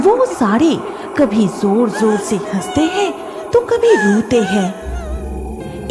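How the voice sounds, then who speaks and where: A woman narrating a scripted cartoon horror story in a dramatic storytelling manner. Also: fairly high pitch.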